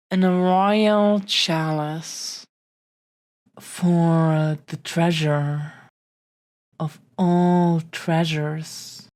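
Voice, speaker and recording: A woman playing an affectionate, possessive dragon-blooded fiancée in an intimate roleplay audio drama, speaking earnestly and haltingly with long pauses to the listener. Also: on the low side, darkish tone, dry recording. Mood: affectionate, gentle.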